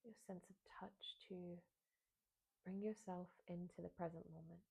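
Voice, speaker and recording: A woman leads a guided meditation in a mellow, husky voice with a gravelly fry at the edges. She speaks softly and soothingly, sometimes near a whisper, leaving unhurried pauses between phrases. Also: on the low side, steady tone. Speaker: meditation guide, yoga teacher.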